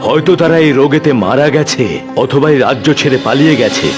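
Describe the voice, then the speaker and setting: A man's voice: medium pitch, quick pace, lightly acted. A man playing a helpless, deferential royal minister in a cartoon fairy tale. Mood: resigned. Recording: music behind the voice.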